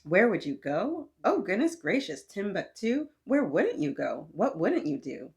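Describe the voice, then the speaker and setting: A woman's voice: mid-range voice, big pitch swings, unhurried, voice pushed up. Woman playing an exuberant, eccentric storybook aunt. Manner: theatrical, exclaiming. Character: eccentric, exuberant, worldly aunt in a picture book.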